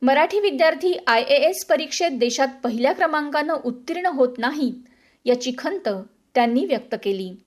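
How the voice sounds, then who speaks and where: A woman's voice: sits high, expressive, normal speed. A woman presenting a scripted radio newsreel as a narrator.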